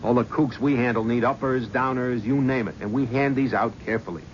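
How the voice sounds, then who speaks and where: An actor playing a cynical young talent-agency gofer in a 1970s radio drama, narrating and talking in a brusque, flippant, wisecracking way. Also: male voice, mid-range voice, steady tone, a little fast, close to an everyday voice. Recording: muffled old radio broadcast, faint hiss.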